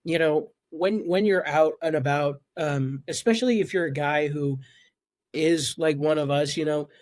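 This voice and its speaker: A young man in his twenties with a high voice chats as a podcast guest. He often stumbles and repeats words before getting a thought out. His voice is recorded dry and close. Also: moderate pace, a little mellow, dry recording. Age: 20s. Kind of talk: casual podcast chat.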